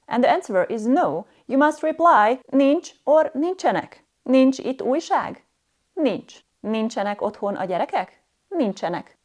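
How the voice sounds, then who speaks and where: A woman's voice: sits high, a little mellow. A woman narrating a scripted language lesson as a stern, harsh and demanding teacher.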